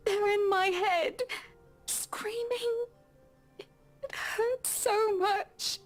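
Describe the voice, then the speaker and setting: A woman's voice: very high voice, fairly even intonation, soft background music, full character voice. A woman voicing a terrified hostage wife in a video game, sobbing and begging. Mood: sobbing pleading. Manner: pleading, slow, breathy sobs.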